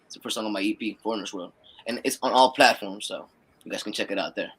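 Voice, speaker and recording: A young man from Peru, raised in the United States, talking as a musician guest in a relaxed way, often repeating words and checking in with "right?". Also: fairly low pitch, fast, slightly rough. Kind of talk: casual interview chat.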